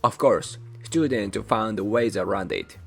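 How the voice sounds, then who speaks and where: A young man from Japan, a native Japanese speaker with a Japanese accent, hosting his channel in measured, careful scripted narration. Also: fairly low pitch, creaky. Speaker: channel host. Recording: soft music behind voice.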